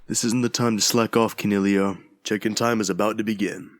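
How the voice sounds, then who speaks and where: A man's voice: fairly low pitch, fairly even intonation, brisk, natural voice. A man playing a nagging hotel kitchen worker in an audio drama. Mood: chiding. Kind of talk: audio drama character acting.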